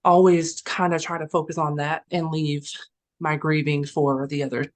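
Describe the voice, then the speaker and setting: A woman's voice: low voice, flat delivery, normal speed. A woman in her thirties hosting a podcast interview, talking casually and at length, with frequent small laughs. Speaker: podcast host.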